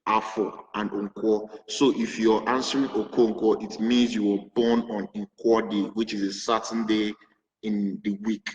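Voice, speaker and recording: Slow, hesitant Nigerian man on a call. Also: fairly low pitch, flat delivery, a little mellow, highs cut off, a little hiss or hum. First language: Igbo. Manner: hesitant, many restarts and fillers. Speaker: interview guest, web developer. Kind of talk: remote interview answers. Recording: roomy, echoey call audio with long reverb.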